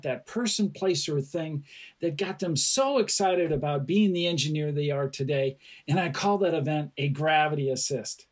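A male podcast host with a somewhat high, husky voice puts friendly, encouraging questions to his guest. His tone stays relaxed and conversational. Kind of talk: interview hosting.

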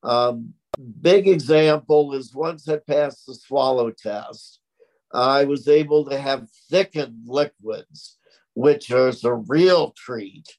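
A middle-aged man talks in an interview at a very unhurried pace. His voice is loud and projecting, and he over-articulates each word with care. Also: medium pitch, rough recording. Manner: slow, deliberate, over-articulated speech. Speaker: interview guest, stroke survivor. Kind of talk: conversational interview.

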